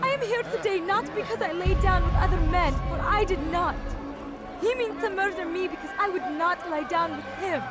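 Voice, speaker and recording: A woman's voice: very high voice, moderate pace. An actress playing a defiant condemned woman in a video game, protesting her innocence with urgent passion. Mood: defiant, desperate. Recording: distant outdoor scene, loud music and crowd.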